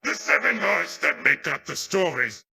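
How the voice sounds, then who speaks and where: Gravelly, forceful villainous djinn. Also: male voice, a little high, very animated, moderate pace, exaggerated voice. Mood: grandiose menace. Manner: grandiose, menacing declarations. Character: power-hungry boastful immortal evil djinn villain. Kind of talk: dubbed video game cutscene dialogue.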